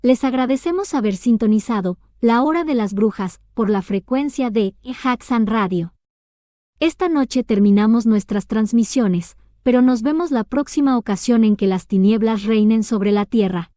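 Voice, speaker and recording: A woman's voice: on the high side, moderate pace, warm tone, no room sound, studio quality. Woman giving a dramatic radio sign-off. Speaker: station announcer.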